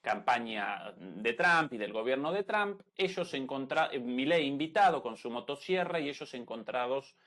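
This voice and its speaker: A man's voice: mid-range voice, expressive, a little fast, long pauses, fairly bright tone. A man hosting a live political talk show in a conversational commentary style.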